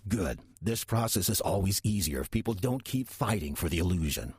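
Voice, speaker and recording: An older demon hiding out as a church usher, played by a man, speaks in a low, very husky voice. His sarcasm is dry and deadpan, with plenty of rise and fall, as in a horror audio drama. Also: moderate pace, no room sound, heavily acted. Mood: sly, patient. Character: wry demon posing as a 63-year-old church usher. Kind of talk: audio drama acting with first-person narration.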